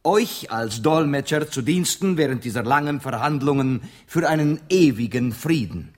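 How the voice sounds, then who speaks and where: A man playing a gallant, self-assured Spanish gentleman interpreter in a German radio drama, with a Spanish accent. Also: mid-range voice, lively intonation, moderate pace, lightly acted. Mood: courtly welcome.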